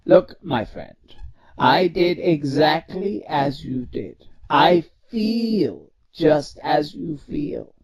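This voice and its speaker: A persuasive robot activist voiced by a man speaks in an audio drama with earnest deliberation. The voice sits a little high and moves slowly. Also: animated, exaggerated voice. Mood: pleading. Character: measured, pleading male robot activist leader.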